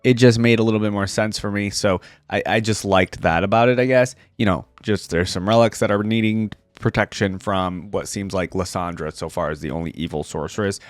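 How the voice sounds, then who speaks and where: A man's voice: on the low side, fairly even intonation, brisk, few pauses, no room sound. A young man giving informal, rambling reaction commentary as a video host in a conversational way.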